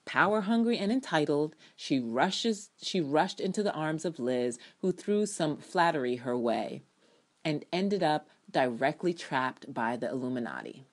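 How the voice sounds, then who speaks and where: A woman's voice: low voice, animated, unhurried. A woman reviewer giving an opinionated, sardonic and theatrical reading of her own written commentary, with casual asides. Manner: emphatic, theatrical delivery. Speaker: TV drama reviewer.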